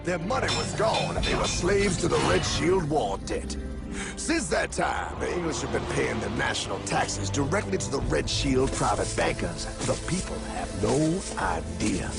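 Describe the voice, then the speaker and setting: A man voicing an excitable, zealous cartoon guide who rants against evil bankers in an emphatic, conspiratorial way. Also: mid-range voice, normal speed, creaky. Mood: intense storytelling. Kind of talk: animated cartoon dialogue. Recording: dramatic music behind the voice.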